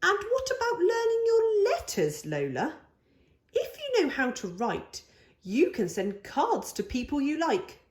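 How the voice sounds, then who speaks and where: A patient young boy, the big brother in a storybook, read by a woman, gently reasoning and persuading. The voice is fairly high, unhurried and set in a noticeably roomy space. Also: wildly swooping pitch, exaggerated voice. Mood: coaxing. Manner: coaxing, reasoning, questioning.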